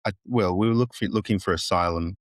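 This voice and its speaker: A man's voice: low voice, a little fast, no echo, clean studio sound. A man in his fifties from Moldova with an Australian accent, a guest chatting casually, rambling and joking with many restarts. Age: 50s. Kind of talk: casual interview chat.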